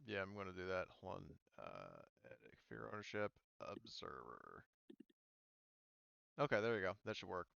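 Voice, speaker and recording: A man running a tabletop game as game master in casual speech, often halting and thinking aloud mid-sentence. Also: low-pitched, huge pitch swings, creaky, fairly bright tone, smooth, dry recording, dull audio.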